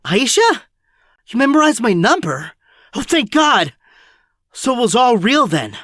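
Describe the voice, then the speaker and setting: A man's voice: extremely high pitch, big pitch swings, unhurried, bright, forceful tone, no echo, clean studio sound, heavily acted. A young man playing an anxious, earnest teenage boy hero in an audio drama, urgent and a little breathless. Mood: relieved.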